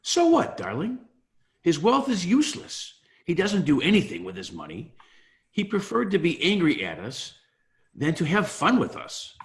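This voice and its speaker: A man's voice: a little high, huge pitch swings, moderate pace. A man playing a merry, scornful young nephew at a party in a dramatic table read. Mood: mocking amusement.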